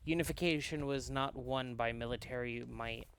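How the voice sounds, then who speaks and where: Man acting formal, smooth-voiced flower scholar. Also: medium pitch, fairly bright tone, lightly acted. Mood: solemn. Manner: formal, didactic, measured. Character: learned, cautious male flower scholar and narrator. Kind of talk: visual novel read aloud in character.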